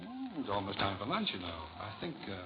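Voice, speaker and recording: An actor playing a suave, easygoing angel in human guise in a 1940s radio drama, casual, urbane and gently teasing. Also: male voice, fairly low pitch, wildly swooping pitch, fast talker, crowd noise. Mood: coaxing. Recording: muffled 1940s radio broadcast.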